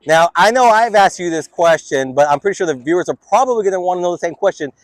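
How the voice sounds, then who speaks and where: A man's voice: high voice, lively intonation, quick pace, no room sound, low-quality recording. Friendly man, questions with restarts. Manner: friendly, hesitant, repeats words while framing questions. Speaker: student interviewer and show host. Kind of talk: casual interview.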